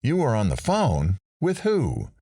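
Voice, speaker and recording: A man's voice: mid-range voice, wildly swooping pitch, unhurried, mellow, no echo, clean studio sound, exaggerated voice. A man playing a musing interviewer in an audio drama, conversational and frank. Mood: irked. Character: musing male interviewer, frank about his regrets.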